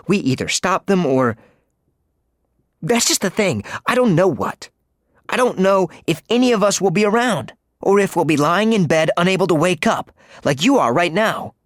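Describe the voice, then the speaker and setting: A man's voice: high voice, no echo, clean studio sound, pitched above the performer's own voice. Brief: male narrator doing a jittery teen hero, raised and wavering voice. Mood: desperate. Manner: urgent, anxious. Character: teenage boy, nervous, earnest, frightened.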